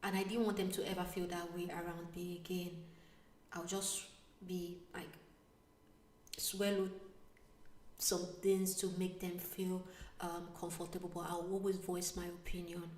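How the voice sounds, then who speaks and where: A woman vlogger telling a personal story in a casual, animated way, sometimes dropping to a whisper. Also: lowish voice, measured pace, long pauses, recorded from a distance. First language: not Italian. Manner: animated, drops to a whisper for asides. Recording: echoey room, voice away from mic.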